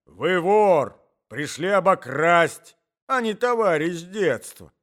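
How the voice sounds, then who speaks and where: A man voicing a fussy, indignant old husband in a story, shouting, repeating himself and sputtering. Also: fairly high pitch, big pitch swings, moderate pace, voice pushed up.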